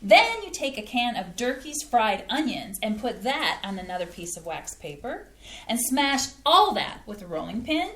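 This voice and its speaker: A woman playing a folksy home-cook mom, chatty, lively pitch, higher voice, echoey stage room. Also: moderate pace. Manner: chatty, folksy, eager to please. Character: cheerful homey mother, proud eager home cook. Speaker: stage reading actor. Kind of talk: community theater staged reading. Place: small community playhouse stage.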